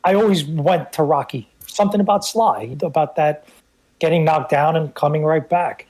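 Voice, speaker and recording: A man's voice: on the high side, moderate pace, a little mellow, poor audio. A man in his fifties with a New York accent, a native Italian speaker, telling personal stories casually as a podcast guest. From the Bronx, New York, United States. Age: 50s. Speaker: guest co-host on a film podcast.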